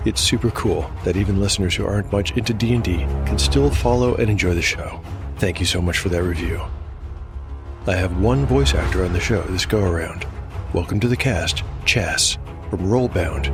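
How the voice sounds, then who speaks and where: A man's voice: deep voice, moderate pace. A man narrating and hosting a fantasy audio drama podcast, reading from a script. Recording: faint music underneath. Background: faint music.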